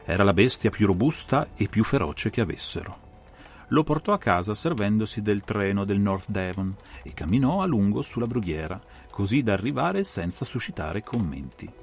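A man performing an analytical gentleman detective recounting a solved case in a dramatized audiobook, with measured, theatrical phrasing. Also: fairly low pitch, expressive, soft background music. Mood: measured, grave. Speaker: audiobook reader and interpreter.

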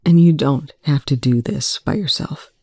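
A woman reading aloud, voicing a steady male commander who speaks mildly and flatly. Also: sits low, normal speed, breathy edge, no echo, clean studio sound. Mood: calm. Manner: mild, flat, quiet. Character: steady older male military commander, calm.

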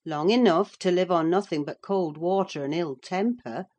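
A woman's voice: fairly low pitch, moderate pace, darkish tone, natural voice. A woman voicing a sensible, firm female servant in a classic-novel audiobook, matter-of-fact, insistent and chiding as she soothes her mistress. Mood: dry, chiding.

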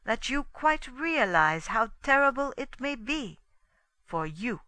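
A commanding male detective from a storybook, acted out by a woman, speaking in slow, deliberate pronouncements. The delivery is theatrical and pointed, with a sharp, forceful edge and a formal air of menace. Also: medium pitch, animated, no echo, voice pushed up, full character voice. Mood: pointed, grave. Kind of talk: dramatic audiobook character dialogue.

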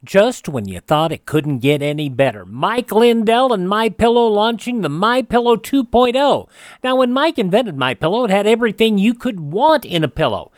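High-pitched male radio host, animated delivery. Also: moderate pace, no echo, studio quality. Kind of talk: scripted radio announcing and ad read.

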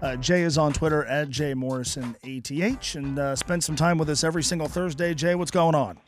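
A man hosting a sports radio show in a relaxed, casual way, with easy filler words. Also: a little high, fairly quick, soft background music. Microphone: studio microphone.

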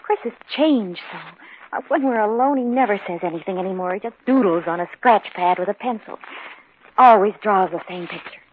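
An actress playing a worried, pleading young wife in a 1950s radio drama. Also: female voice, mid-range voice, fairly quick, lightly acted. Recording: tinny, hissy old radio recording.

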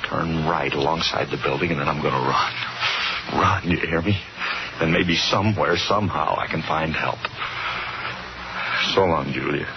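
A man's voice: extremely low pitch, moderate pace, pauses often, very noisy. An American man acting a remorseful, hunted man in a 1950s radio thriller. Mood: somber resolve. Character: doomed, remorseful hunted man facing a vengeful mob. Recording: dull 1950s radio broadcast with music.

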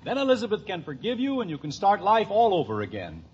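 A man's voice: sits high, wildly swooping pitch, fast, darkish tone, breathy edge, exaggerated voice. An actor playing a reasonable, placating middle-aged father and neighbor in a 1950s radio family comedy. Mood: admonishing. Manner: placating, knowing. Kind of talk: 1950s radio sitcom acting. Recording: slightly hissy, muffled old radio recording.

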